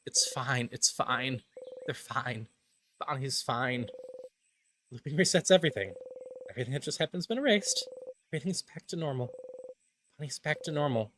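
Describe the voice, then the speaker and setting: A man's voice: medium pitch, lively intonation, normal speed, long pauses, background noise, close to an everyday voice. A man playing a panicked young traveler trapped in time loops, pleading and repeating words obsessively in a dramatic video game voice-over. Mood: anxious self-soothing.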